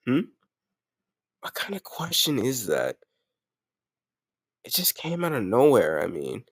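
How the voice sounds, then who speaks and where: A man's voice: medium pitch, huge pitch swings, slow, breathy edge, no room sound, studio quality, full character voice. A man playing a doting but ruthless mafia boss in an intimate audio roleplay, commanding yet affectionate, using gangster slang. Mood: tender, teasing.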